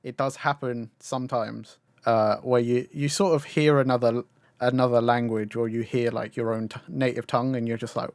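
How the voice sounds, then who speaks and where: A man's voice: mid-range voice, steady tone, moderate pace, darkish tone, no room sound, clean studio sound. A young man whose native language is Cantonese, chatting casually as a podcast co-host, rambling with swearing and laughs. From Cantonese-speaking Chinese family. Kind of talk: casual chat.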